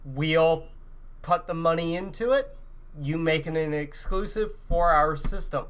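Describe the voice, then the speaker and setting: A man's voice: on the high side, unhurried, fairly bright tone, smooth. A man co-hosting a gaming podcast in a casual, informal way. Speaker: podcast co-host.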